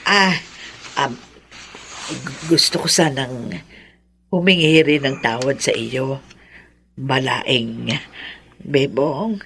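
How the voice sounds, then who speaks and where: Slow-speaking, remorseful older mother. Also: rumbling low, creaky, fairly bright tone, faint music behind, full character voice. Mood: remorseful, pleading. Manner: halting, emotional delivery. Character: remorseful older mother-in-law seeking forgiveness. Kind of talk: Filipino radio drama acting.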